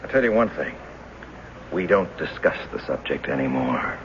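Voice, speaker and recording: A stubborn earnest husband in old radio drama, low voice, dull noisy sound. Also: male voice, expressive, moderate pace. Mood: defiant, tense.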